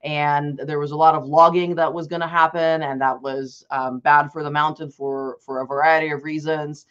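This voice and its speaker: A man's voice: a little high, level tone, moderate pace, a bit bright, clean tone. Earnest young man on muffled video call. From Bulgaria. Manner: earnest, conversational, many restarts and fillers. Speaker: advocacy specialist presenting to students. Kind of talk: personal storytelling presentation. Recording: dull lo-fi video call audio.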